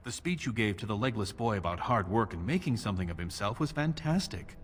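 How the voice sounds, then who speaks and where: An actor playing an easygoing younger dwarf prince in a video game, with a dry, sarcastic manner. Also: male voice, on the low side, expressive, brisk, husky. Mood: sardonic.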